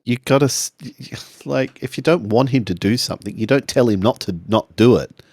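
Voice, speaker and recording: A man in casual, joking table talk as a player in a tabletop game podcast, halting and stammering at times. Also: mid-range voice, a little mellow, no echo, studio quality.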